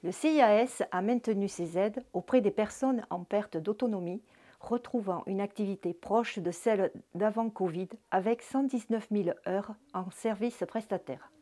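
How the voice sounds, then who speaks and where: A woman delivering a scripted, formal New Year's address as a local social service official, in a measured read-out manner. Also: medium pitch, dark tone.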